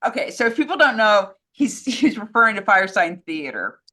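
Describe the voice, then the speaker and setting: A woman's voice: mid-range voice, sing-song, a little fast, pushed and bright. A woman co-hosting a show, reading out fan questions and chatting casually about them.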